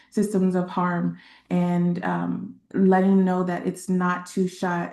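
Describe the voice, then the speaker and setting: A woman from Texas, a community organizer speaking on a webinar panel in a halting way, with many fillers and pauses. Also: on the low side, creaky, darkish tone, roomy sound. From San Antonio, Texas.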